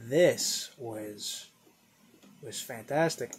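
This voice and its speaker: A man's voice: medium pitch, drawn-out words, a little hiss or hum. A young man hosting a reaction video from a room at home, chatting casually, rambling and repeating himself. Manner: rambling, repeats "yo" and "dude", trails into mumbles. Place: home room with a flag on the wall.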